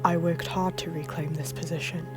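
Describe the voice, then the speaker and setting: A woman's voice: low voice, flat delivery, normal speed, faint music behind, lightly acted. A woman voicing a proud, bitter young male king in an audio drama, curt and dismissive.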